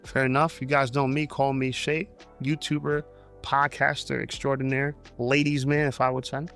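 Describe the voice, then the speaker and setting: A man's voice: mid-range voice, level tone, normal speed, a bit bright, soft background music. A man in his thirties from New Jersey, bantering casually on a podcast panel in a teasing, cocky way. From New Jersey, United States. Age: 30s.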